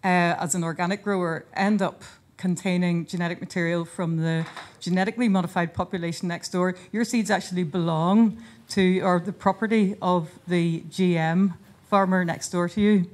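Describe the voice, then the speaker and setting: A woman giving an earnest, informal conference talk in a hotel conference room, often pausing and restarting her sentences. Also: fairly low pitch, steady tone, darkish tone. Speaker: conference speaker and seed charity founder. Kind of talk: conference presentation.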